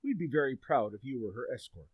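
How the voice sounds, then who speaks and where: A husky suburban dad, played by a man in an old-time radio comedy, stern yet forgiving. His pitch rises and falls expressively, and the sound is dry. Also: lively intonation, fast, no room sound, narrow, tinny audio. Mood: apologetic, proud. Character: Mr. Kilmer, stern but forgiving suburban father.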